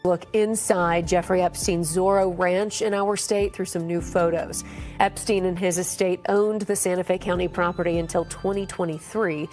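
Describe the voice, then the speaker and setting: A woman's voice: fairly low pitch, fairly even intonation, normal speed, darkish tone, soft background music. A woman delivering a broadcast news report as a TV reporter.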